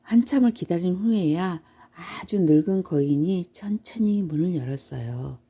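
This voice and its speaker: A woman's voice: lowish voice, lively intonation, moderate pace, mellow, breathy edge. A woman narrating a children's bedtime storybook from a script. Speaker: storybook reader.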